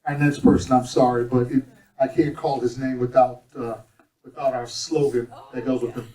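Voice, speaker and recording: A man's voice: medium pitch, flat delivery, normal speed, warm tone. Joking man emceeing a graduation. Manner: joking, self-correcting, then formal. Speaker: chef instructor. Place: event hall. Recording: echoey room from far off, audience behind.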